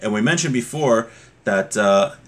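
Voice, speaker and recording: A middle-aged man giving an informal religious lesson, explaining with examples in a conversational, somewhat halting way. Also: on the low side, lively intonation, fairly bright tone. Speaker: religious teacher. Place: small home room, indoors. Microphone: webcam or laptop mic at desk distance.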